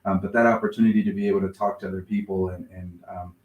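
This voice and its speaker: Lower-voiced man in an echoey room. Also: steady tone, fast talker, darkish tone, highs cut off. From born in Mexico, raised in Nebraska, USA. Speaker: interview guest, middle school principal. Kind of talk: conversational personal storytelling. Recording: roomy, echoing video call sound.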